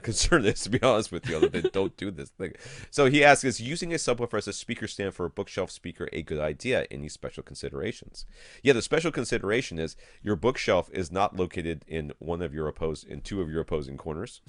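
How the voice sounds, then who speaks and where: A man with a deep voice talks through technical questions as a podcast co-host. His delivery swings freely up and down, and he is blunt, emphatic and fond of a joke. The sound is close and dry. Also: fairly bright tone, no echo. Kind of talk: casual technical chat, answering listener questions.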